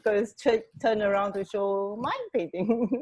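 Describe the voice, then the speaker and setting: A woman co-hosting a radio show in casual chat, halting and often trailing off. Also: mid-range voice, steady tone, darkish tone.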